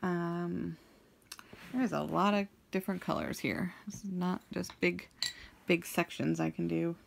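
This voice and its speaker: Slow, low-voiced woman rambling while crafting. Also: gravelly, a little hiss or hum. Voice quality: deep, somewhat monotone voice. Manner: slow, meandering, many ums and trailing thoughts. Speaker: craft vlogger.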